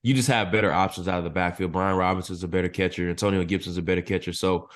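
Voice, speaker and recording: A man analyzing football draft picks as a podcast guest, his voice a little hoarse and clearing his throat now and then. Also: sits low, fast talker. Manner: steady analytical explaining. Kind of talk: casual podcast interview.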